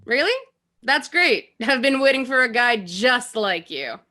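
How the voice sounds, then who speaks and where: Sassy young woman for a comedic screenplay reading, acted by a woman, high-pitched, forward tone, emphatic. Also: lively intonation, measured pace, pushed and bright. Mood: sarcastic, wary. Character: sassy guarded young shop woman, Hildy.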